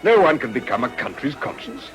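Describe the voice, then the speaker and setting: An actor playing an earnest, gallant politician with an educated English accent, speaking formally and courteously in a period radio drama. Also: male voice, medium pitch, sing-song, fairly quick, fairly bright tone, soft background music.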